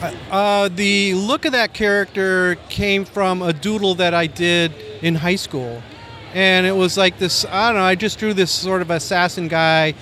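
An American man in his sixties from Maine with a soft voice, reminiscing casually and a bit ramblingly in an interview. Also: sits high, unhurried. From Maine, United States. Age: 60s. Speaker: comic book artist, interview guest. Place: busy convention floor. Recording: faint convention floor noise.

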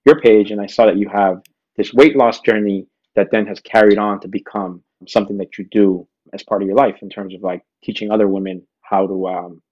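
Male podcast host, lowish voice, informal and slangy, conversational interviewing, dull muffled recording. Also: a little mellow.

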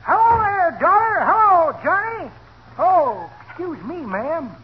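An actor playing a folksy, teasing, wheezy old codger in a 1940s radio comedy. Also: male voice, extremely high pitch, big pitch swings, unhurried, exaggerated voice. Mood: jolly, teasing. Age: older. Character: cackling, folksy old codger who tells corny jokes. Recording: muffled, faintly noisy 1940s radio recording.

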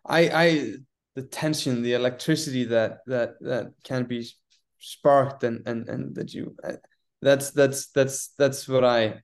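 A man's voice: mid-range voice, darkish tone. A man in his twenties from Iceland, a guest on an interview podcast, speaking thoughtfully with many restarts and repeated words. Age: 20s. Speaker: guest theater artist. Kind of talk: casual interview.

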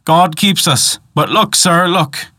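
A man's voice: a little high, slow pace, bright, forceful tone, dry recording, studio quality, pitched above the performer's own voice, exaggerated voice. A man voicing an old peasant woman, a superstitious, folksy guide telling tales in dialect for a storybook. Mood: hushed warning.

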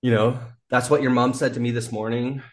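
A man's voice: mid-range voice, steady tone, a little fast. A man telling a wild story as a podcast guest, rambling, laughing often and swearing freely. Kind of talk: casual storytelling.

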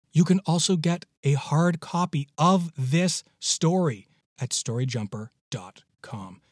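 A man's voice: on the high side, darkish tone, no echo, clean studio sound. A man hosting a podcast, giving a casual introduction in a playful, emphatic, exclamatory manner. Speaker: podcast host.

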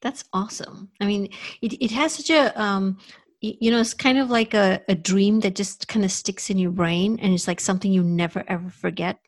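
A woman hosts a podcast interview in a middle-pitched voice. She talks earnestly and often restarts her sentences with fillers. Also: moderate pace. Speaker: podcast host.

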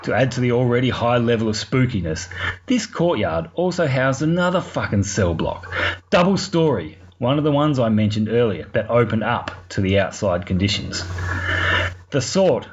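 A man's voice: medium pitch, animated, normal speed. A man with an Australian accent telling a story informally for a podcast, in a colloquial, sweary, wry way. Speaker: podcast segment contributor. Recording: muffled lo-fi recording, clear background noise.

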